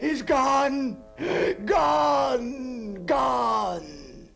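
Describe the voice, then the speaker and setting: A haunted, obsessive gentleman in a gothic radio drama, his voice high for a man and cracked with gravel. He speaks slowly and haltingly, repeating himself with fevered theatrical intensity. Music runs behind him. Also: exaggerated voice. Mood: horrified, frantic. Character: haunted, obsessive gentleman confronting a ghostly double.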